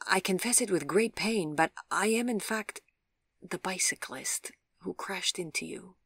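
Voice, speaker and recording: Woman voicing an awkward, courteous gentleman. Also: mid-range voice, normal speed, no room sound, clean studio sound, pitched above the performer's own voice, natural voice. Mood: apologetic. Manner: hesitant, formal, stammering politeness. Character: awkward, courteous, apologetic man visiting a patient. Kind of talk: audiobook character voice.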